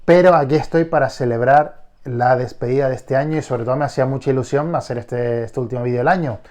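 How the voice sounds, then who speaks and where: A man's voice: medium pitch, fast, a little mellow. Stuffy-nosed man. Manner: enthusiastic, many restarts. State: congested with a cold, nasal. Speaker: collector vlogger. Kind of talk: casual vlog.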